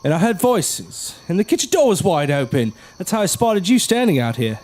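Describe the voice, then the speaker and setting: Actor as impatient young man, mocking, blunt, slangy, highish voice, lively swooping intonation, horror audio drama. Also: normal speed. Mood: accusing.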